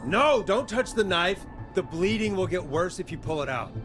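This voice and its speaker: An actor playing a composed, caring late-night radio host in a video game, speaking in a steady, conversational way. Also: male voice, high voice, normal speed, soft background music. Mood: calm, instructive. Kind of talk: natural video game voice acting.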